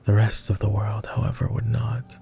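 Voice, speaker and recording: A man voicing a gentle, devoted vampire, speaking softly and formally in an intimate roleplay ASMR style. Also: lowish voice, level tone, moderate pace, faint music behind. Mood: wistful, guarded. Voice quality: soft, gravelly. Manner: posh, formal, tender. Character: gentle, teasing male vampire, devoted and wistful.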